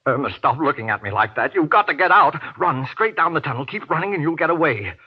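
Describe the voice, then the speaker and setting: An actor playing a desperate, guilt-ridden husband in a 1940s radio horror play, pleading in halting, anguished, melodramatic bursts. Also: male voice, fairly high pitch, animated, breathy edge. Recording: muffled vintage radio recording.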